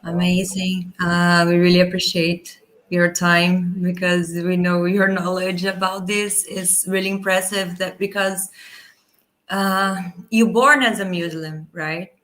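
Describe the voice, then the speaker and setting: Slow, even-toned woman. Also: fairly low pitch. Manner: halting, non-native English phrasing. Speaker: interview co-host. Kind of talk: interview questions.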